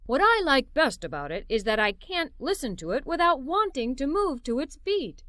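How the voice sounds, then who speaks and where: A woman voicing a sleepy, cheerful, music-loving uncle in a children's storybook, with a warm, enthusiastic delivery. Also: high-pitched, very animated, moderate pace, no echo, clean studio sound.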